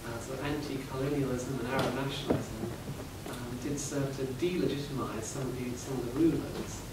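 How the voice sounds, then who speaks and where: A man's voice: medium pitch, fairly even intonation, fairly quick. A man on an academic panel speaking hesitantly, with many fillers and restarts. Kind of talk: academic round-table discussion. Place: seminar room. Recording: echoey hall, distant mic, background noise.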